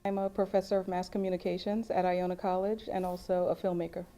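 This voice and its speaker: Mellow, composed woman professor. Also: fairly low pitch, little pitch movement, fast. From Jamaica. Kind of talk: interview reflection.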